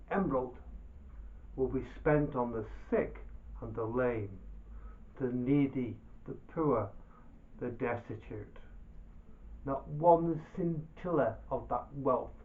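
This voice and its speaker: A man voicing a humble, venerable old Dervish, mild, measured and pious, in a storybook tale. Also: a little high, narrow, tinny audio.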